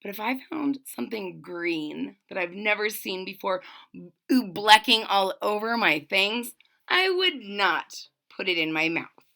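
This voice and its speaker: A woman's voice: mid-range voice, very animated, a little slow, creaky, sharp tone. A woman reading a children's story aloud expressively and dramatically, stopping to retell it and address the listeners. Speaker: storybook reader.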